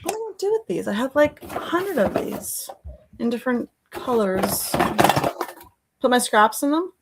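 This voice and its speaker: A woman's voice: fairly high pitch, sing-song, a little slow, very noisy. A woman chatting casually and playfully as a livestream host.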